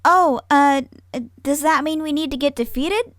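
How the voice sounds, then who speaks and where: A woman's voice: high voice, a little slow, no room sound, studio quality. A woman playing an earnest, polite young female pony heroine in a comic audio drama. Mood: puzzled.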